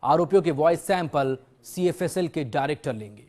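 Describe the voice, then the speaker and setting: A man's voice: fairly high pitch, animated, fairly quick, darkish tone. A man reading scripted news as an anchor.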